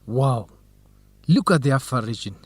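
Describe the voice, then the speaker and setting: A man's voice: medium pitch, animated, moderate pace, husky, no room sound. A man playing a cautious, good-natured young graduate student in an educational radio drama. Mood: relaxed, friendly.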